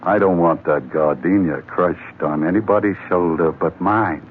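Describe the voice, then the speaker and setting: An actor playing a slick, double-dealing sailor in a 1940s radio drama, with smooth, chuckling con-man patter. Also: low voice, a little slow, very noisy. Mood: smooth-talking. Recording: tinny 1940s radio broadcast.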